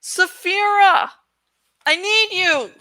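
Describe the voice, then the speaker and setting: A woman's voice: very high voice, takes it slowly, pushed and bright, no room sound, heavily acted. A woman voicing a desperate, determined young man in a fantasy storybook, urgent and pleading. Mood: urgent calling.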